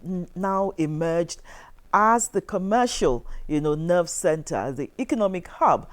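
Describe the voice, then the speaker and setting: A woman's voice: fairly low pitch, animated, a little slow. A woman with a Nigerian accent, interviewing conversationally as a television host. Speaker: television talk show host.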